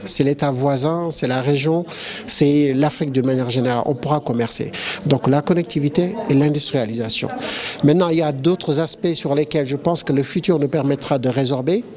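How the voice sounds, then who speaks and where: A man's voice: on the high side, fairly quick, a little mellow. A man giving a methodical statement, laying out his points one by one. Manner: methodical, lists points in order. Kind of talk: explanatory statement.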